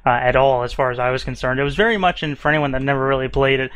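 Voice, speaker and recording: Brief: mid-pitched male host, dry close sound, relaxed questioning manner. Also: rapid-fire, no echo. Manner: easygoing, asks questions, hedges with fillers. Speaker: podcast host. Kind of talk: casual podcast chat.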